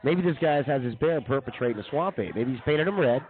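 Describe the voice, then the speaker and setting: Animated, fast-talking male comedy co-host. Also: mid-range voice. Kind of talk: casual comic banter. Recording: tinny lo-fi radio stream.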